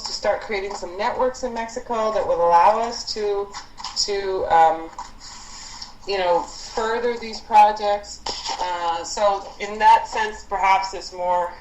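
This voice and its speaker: Woman programme leader, informal and encouraging, halting explanations, roomy conference-call sound with faint noise. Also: mid-range voice.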